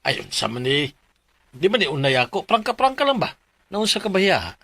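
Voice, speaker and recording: A blunt, teasing best friend in a Philippine radio drama. He has a fairly high, bright, forceful voice with a Cebuano accent, recorded dry and close. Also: very animated, a little fast, vocal fry, no room sound, full character voice. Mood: blunt needling. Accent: Cebuano Filipino. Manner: frank, needling.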